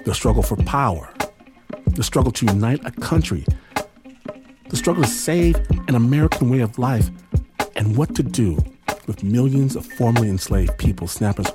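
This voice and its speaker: A man narrates a scripted podcast introduction as host. His medium-pitched voice uses deliberate, dramatic phrasing. Music plays clearly behind him. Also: expressive.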